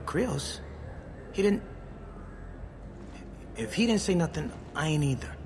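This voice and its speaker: An actor playing a nervous young petty criminal in a video game, with slangy, ungrammatical street talk. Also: male voice, on the high side, very animated, slow pace, a little mellow, a little hiss or hum. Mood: startled, defensive.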